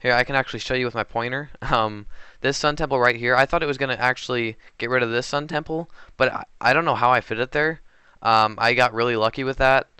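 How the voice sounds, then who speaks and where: Bright, crisp-voiced man casually narrating gameplay. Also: mid-range voice, fast talker, dry recording. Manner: hesitant and unsure, many fillers and self-corrections. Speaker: gameplay commentator. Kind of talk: casual game commentary voice-over.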